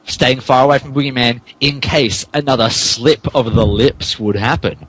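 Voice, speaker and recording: A man's voice: medium pitch, moderate pace, fairly bright tone, low-quality recording. A man narrating a comic dramatic reading of fan fiction in a hammy way, stumbling over words. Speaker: narrator, podcast reader.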